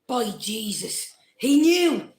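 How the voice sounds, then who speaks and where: A woman's voice: fairly high pitch, sing-song, slow, a little mellow. A woman voicing a belligerent, scornful nationalist man in a live reading. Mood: scornful, heated. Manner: sneering, heated taunts. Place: community centre hall, live event. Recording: roomy hall echo.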